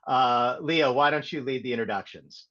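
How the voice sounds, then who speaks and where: A man's voice: mid-range voice, moderate pace, fairly bright tone. A man hosting a livestreamed panel in a casual way over a video call. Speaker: panel host. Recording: dull, lo-fi call audio.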